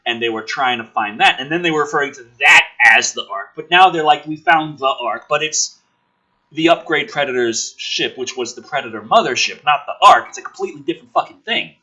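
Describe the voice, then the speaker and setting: A man's voice: mid-range voice, animated, a little fast, sharp tone, slightly rough, rough recording. A man reading a script dramatically and breaking off into sarcastic, exasperated, sweary commentary as the host.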